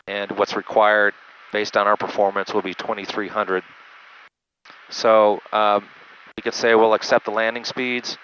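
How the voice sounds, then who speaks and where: A man who pilots a jet, giving an unscripted, methodical instructional walkthrough with halting speech over a cockpit headset intercom. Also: fairly low pitch, fairly bright tone. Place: small jet cockpit in flight. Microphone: aviation headset through intercom. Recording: muffled band-limited cockpit headset audio, engine noise.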